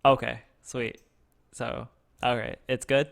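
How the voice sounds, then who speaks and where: A man in the middle pitch range with a smooth, clear voice speaks close into a desk microphone. He streams a card game and thinks aloud, halting often with fillers and restarts. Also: little pitch movement, fairly bright tone. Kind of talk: casual gameplay streaming.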